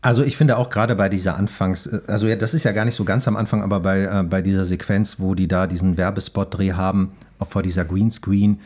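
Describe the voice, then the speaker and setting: A man with a lowish, dark, husky voice hosts a film discussion. He speaks casually and slips into quoted dialogue as he recaps. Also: steady tone, quick pace, few pauses. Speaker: podcast host.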